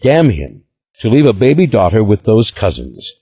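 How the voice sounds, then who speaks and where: A man playing an ill old patriarch, imperious and proud, who gives orders in a husky voice that rises and falls with feeling. The recording sounds slightly dull and lo-fi. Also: fairly low pitch, big pitch swings, normal speed, dark tone, voice pushed up. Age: older. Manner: imperious, commanding. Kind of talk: audiobook character voice.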